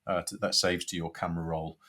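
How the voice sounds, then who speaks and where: A man's voice: low-pitched, little pitch movement, fairly quick, sharp tone. A man giving an informal step-by-step product demonstration, hesitating and thinking aloud as he goes. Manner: hesitant, thinking aloud while tapping through steps. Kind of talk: informal screen-by-screen tutorial.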